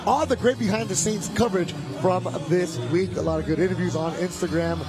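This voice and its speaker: A man's voice: sits high, fairly quick. A man giving live play-by-play commentary on a boxing match and questioning his guest analysts. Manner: energetic, asks guests questions. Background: music and crowd noise.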